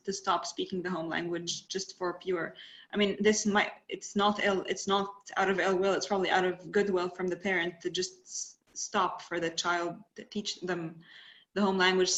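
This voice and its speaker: A woman's voice: fairly low pitch, steady tone, a little fast, fairly bright tone, dull audio. A young adult woman whose first language is Arabic, moderating a webinar from her living room on a video call and often restarting her questions partway through. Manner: hesitant, restarting questions mid-sentence. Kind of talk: webinar discussion, asking questions. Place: living room at home, indoors. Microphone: laptop webcam on a video call.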